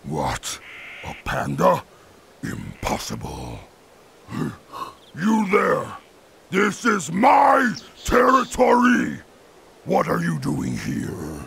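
A man voicing a big, territorial, menacing beast villain in an animated game, with a taunting gravelly growl. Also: high voice, drawn-out words, long pauses, heavily acted. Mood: outraged.

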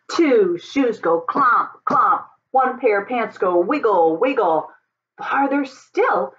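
A middle-aged woman reads a children's story aloud. Her pitch moves up and down in a lively way, and she takes her time with deliberate, dramatic pauses. The webcam recording sounds a little dull. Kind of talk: expressive picture-book read-aloud. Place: home office, indoors.

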